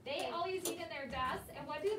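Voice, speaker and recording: A woman's voice: very high voice, brisk, over a noisy crowd. A woman teacher leading a classroom discussion with young pupils, prompting them with questions and repeating their answers. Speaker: elementary school teacher. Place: indoor school classroom. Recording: roomy, distant mic, noisy classroom.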